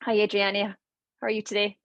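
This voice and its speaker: A woman's voice: mid-range voice, completely flat delivery, quick pace, fairly bright tone, no echo, highs cut off. A woman hosting an online panel interview, encouraging and full of fillers and repeated words. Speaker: webinar host.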